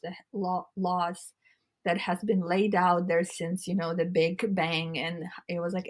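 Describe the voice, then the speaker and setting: A woman co-hosting a casual spiritual podcast chat, with a raspy, congested voice, speaking earnestly and often restarting her phrases. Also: lowish voice, low-quality recording. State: congested throat. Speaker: podcast co-host.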